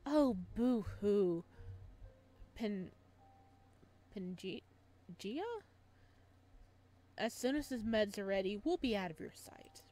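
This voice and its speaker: A woman's voice: mid-range voice, expressive, slow, pauses often, warm tone. A woman voicing a menacing, possessive man from a visual novel, sneering and drawling with mocking hostility. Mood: dismissive, mocking.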